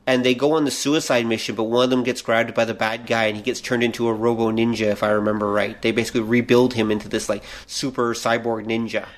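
A man's voice: mid-range voice, little pitch movement, quick pace, few pauses. A man co-hosting a podcast, explaining films in a casual, opinionated way. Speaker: podcast co-host. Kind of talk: casual film chat.

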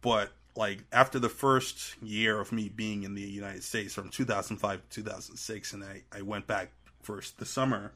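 A man in his thirties with a fairly low, bright voice, from Trinidad and Tobago. He talks in a casual interview style as a guest, feeling his way through sentences with frequent restarts. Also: fairly even intonation, normal speed. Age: 30s. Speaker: podcast guest.

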